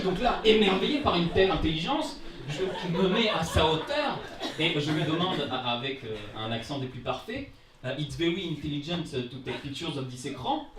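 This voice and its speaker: A man in his twenties from France telling a comic personal story on stage in a casual, self-mocking, theatrical way. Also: high voice. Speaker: amateur storyteller at a live travel-story event. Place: indoor live storytelling event before an audience. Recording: roomy live venue, distant microphone.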